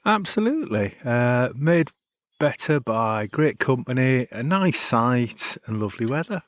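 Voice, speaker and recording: A man's voice: medium pitch, big pitch swings, a little slow, no room sound, clean studio sound. A man co-hosting a podcast, chatting easily at a campsite in an upbeat way, praising gear and the site. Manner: easygoing, upbeat, recommends gear and sites. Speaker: podcast co-host. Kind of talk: casual chat outdoors at a campsite.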